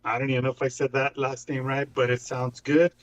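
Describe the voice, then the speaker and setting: A man's voice: medium pitch, flat delivery. A man of Mexican background co-hosting a casual fight podcast, reading out event listings and laughing easily. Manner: laughs often, reads fight card quickly. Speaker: podcast co-host.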